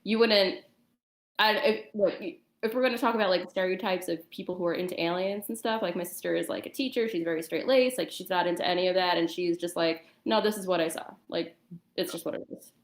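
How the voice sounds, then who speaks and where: A woman's voice: fairly low pitch, brisk. A woman guest on a talk show, casually telling a personal story in informal, hesitant phrasing. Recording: echoey room sound.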